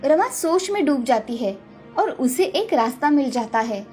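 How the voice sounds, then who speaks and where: A woman storyteller reads a scripted children's tale. Her voice is high, and soft music plays behind her narration. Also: very animated, measured pace.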